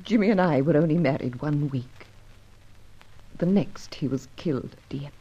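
An actress playing a proud, guarded young war widow in an old radio melodrama, with an emotional, halting, defensive delivery. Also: female voice, low-pitched, mellow, breathy edge. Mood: sorrowful. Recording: muffled, lo-fi old radio recording.

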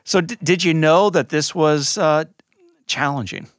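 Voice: medium pitch, a little slow, dry recording. A reflective interview host with a mid-range voice, thoughtfully summing up what the guest said before asking a follow-up. The sound is dry and close, with no room around it. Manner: reflective, summarizing back to the guest. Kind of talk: interview conversation.

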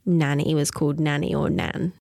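A woman in her thirties from Wales talks in a relaxed, giggly way, often teasing the person she's talking with. Her voice sits fairly low and has a gravelly fry to it. The recording is dry and close, with no room sound. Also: normal speed, dry recording. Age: 30s. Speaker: podcast guest. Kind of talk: casual chat.

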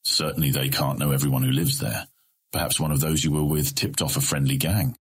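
An actor playing a stern, impatient fantasy guildmaster in an audiobook drama, curt and commanding. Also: male voice, extremely low pitch, flat delivery, brisk, slightly rough, exaggerated voice. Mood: demanding, scheming.

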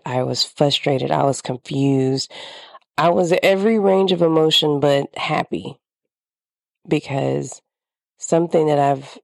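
A woman's voice: low-pitched, gravelly, mellow, dry recording, clean studio sound. A woman podcast host with a slightly congested, nasal voice, talking candidly in a reflective personal monologue.

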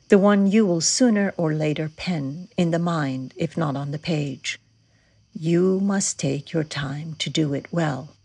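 A woman reading aloud an excerpt from a book in a deliberate, even way. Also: low-pitched, vocal fry, husky.

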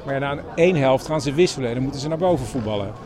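A man's voice: mid-range voice, animated, racing. A man hosting a podcast, casually reporting on the spot. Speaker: podcast host. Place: mostly outdoors at a small football ground. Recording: outdoor football crowd, music behind.

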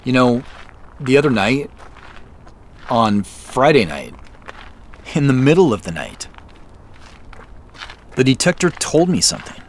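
A slow, amused restless seeker. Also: male voice, medium pitch, big pitch swings, a little hiss or hum. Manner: slow, amused, laughs often. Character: restless impulsive man chasing a mystery. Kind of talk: audio drama acting.

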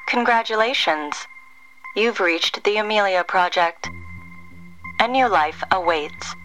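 An actress playing the cool, cryptic answering machine greeting of a secretive agency in an audio drama. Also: female voice, medium pitch, slow, music in the background, close to an everyday voice, poor audio. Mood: cool, ominous.

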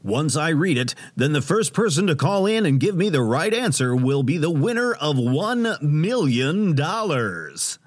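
An actor playing a theatrical, self-important radio host in an audio drama, grandiose and melodramatic. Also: male voice, fairly high pitch, sing-song, measured pace, hardly any pauses. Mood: showman grandeur. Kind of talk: audio drama acting, radio showman patter.

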